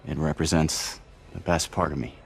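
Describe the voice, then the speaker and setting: Grave, gentle charity boss, deep voice, measured solemn manner, video game drama. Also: male voice, steady tone, vocal fry, breathy edge, full character voice.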